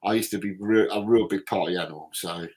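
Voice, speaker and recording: A man's voice: low-pitched, fast talker, a little mellow. A middle-aged man with a London accent, chatting casually in a blokey way and repeating himself. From South London, England. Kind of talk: casual chat.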